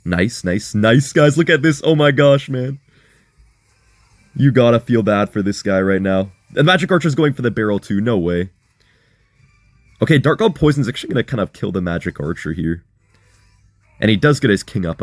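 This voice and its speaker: A young man with a hoarse voice giving chatty, excitable live commentary on a mobile game as he plays, talking to his viewers. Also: sits low, no room sound. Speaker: gaming content creator.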